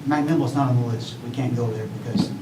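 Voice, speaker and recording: A man giving an urgent, earnest public comment at a city council meeting, halting with fillers as he goes. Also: mid-range voice, fairly even intonation. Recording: echoey hall, distant mic, noisy.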